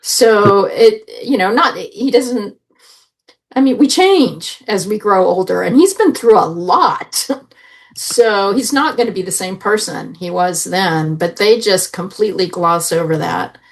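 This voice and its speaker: A woman's voice: medium pitch, animated, a little slow. A woman giving her opinions as a panelist in a casual review chat. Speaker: podcast panelist reviewing audio drama.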